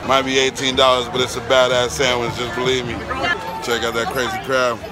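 Upbeat man vlogging in a loud crowd. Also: medium pitch, completely flat delivery, brisk. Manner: upbeat, enthusiastic and chatty with fans. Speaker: vlogger touring a ballpark. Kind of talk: casual selfie-camera vlog narration. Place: outdoors and in a busy baseball stadium concourse. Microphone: phone camera at arm's length. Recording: noisy crowd and background music. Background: crowd chatter and music.

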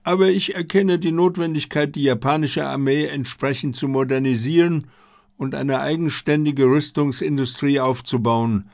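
Storybook old army general, acted by a male reader: proud, formal, stiff, pitched up, very dry sound. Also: steady tone, moderate pace, creaky, darkish tone, no echo. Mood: stern, resolute.

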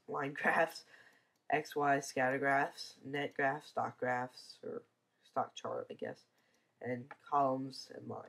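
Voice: mid-range voice, breathy edge. A teenager with a stuffy, congested nose from a cold gives a casual, hesitant screencast review, full of ums, over a computer mic. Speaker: software reviewer.

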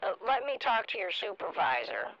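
A man putting on the voice of a prim, stubborn elderly woman of eighty-one, talking slowly and mildly on a phone call. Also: high voice, very animated. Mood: prim, firm. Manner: slow, mild and unbothered. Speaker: caller baiting a scammer.

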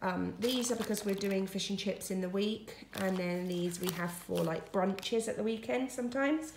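A woman in her thirties runs through her weekly shop in a chatty, item-by-item way. Her voice has a gravelly fry to it. She is recorded on a phone in a roomy home kitchen with a little background noise. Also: medium pitch, moderate pace. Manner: chatty, listing items one after another. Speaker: family vlogger. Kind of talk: casual grocery haul vlog. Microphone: phone camera at arm's length. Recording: echoey kitchen with faint noise.

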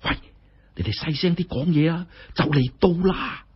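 A man voicing an excitable, mischievous rich boy in a story, eager and conspiratorial, with a Hong Kong Cantonese accent. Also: on the high side, moderate pace, slightly rough, muffled recording, voice pushed up. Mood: hushed warning.

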